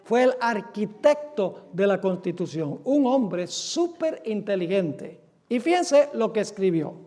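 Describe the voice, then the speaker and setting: Brief: male pastor from the US, strikingly high voice, emphatic sermon delivery with rhetorical questions. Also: very animated, warm tone. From United States. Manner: emphatic, asks rhetorical questions and answers them; reads quotations aloud slowly. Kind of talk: sermon lecture.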